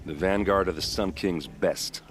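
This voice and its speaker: Very deep-voiced, swaggering soldier. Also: male voice, measured pace. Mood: teasing, boastful. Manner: casual, teasing, boastful. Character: swaggering, friendly male vanguard soldier, a flirtatious fighter. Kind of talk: video game dialogue acting.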